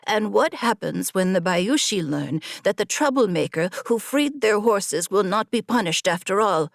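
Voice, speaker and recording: A woman's voice: mid-range voice, animated, moderate pace, vocal fry, no echo, studio quality. A woman narrator voicing a loyal, pestering young apprentice horsemaster in an audiobook, exasperated and wry. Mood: worried warning. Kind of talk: audiobook character dialogue.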